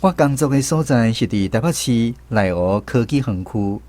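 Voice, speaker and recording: A man's voice: medium pitch, expressive, normal speed, a little mellow, lightly acted. A man with a Taiwanese accent playing a reflective film director who narrates his memories in a radio drama. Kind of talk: scripted first-person radio drama narration. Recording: music bed under the voice. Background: instrumental music.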